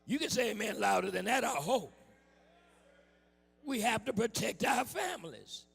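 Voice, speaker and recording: A hoarse man preaching an impassioned sermon to a men's gathering, calling for responses and shouting his points. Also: high voice, very animated, moderate pace, long reverb tail, soft background music. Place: church hall with music behind.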